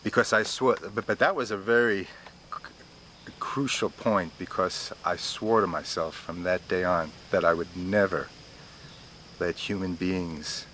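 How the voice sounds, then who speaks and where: A man in his fifties speaks in a personal interview, his voice sitting very low. He stutters openly, repeating words and stalling on blocks before pushing on. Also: a little slow, a bit bright, a little hiss or hum. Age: 50s.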